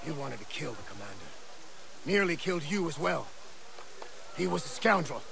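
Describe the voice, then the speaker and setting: Gravelly, animated old-fashioned gentleman officer. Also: fairly high pitch, normal speed, background noise, exaggerated voice. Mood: wry. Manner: theatrical, old-fashioned turns of phrase. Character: excitable, blustery Revolutionary-era gentleman officer.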